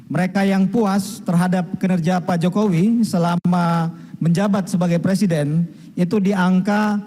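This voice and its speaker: A man's voice: high-pitched, mellow. A man presenting survey findings as a researcher, closing his points with a short tag word. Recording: reverberant hall.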